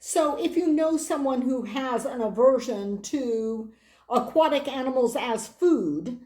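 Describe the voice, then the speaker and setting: Hoarse woman chatting slowly in an echoey room. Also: sits high, expressive, mellow. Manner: chatty and rambling, with side remarks and restarts. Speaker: board game channel host. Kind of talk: casual chat reviewing a board game. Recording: roomy echo.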